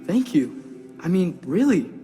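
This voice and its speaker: A man's voice: high-pitched, big pitch swings, slow pace, dark tone, faint music behind, heavily acted. A male actor playing an earnest, curious teenage boy in a cinematic video game, sincere and a little hesitant. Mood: curious, awed.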